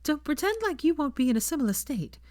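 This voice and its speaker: Narrator doing a high, teasing young woman. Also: very animated, fast, a little mellow, dry recording, clean studio sound. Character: bright young woman, teasing, headstrong, emotional lover. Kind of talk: fan fiction audiobook dialogue.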